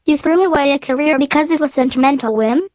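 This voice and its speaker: Woman acting high-voiced practical skeptic. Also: fast talker, darkish tone, no echo, lightly acted. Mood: skeptical. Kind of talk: radio play acting.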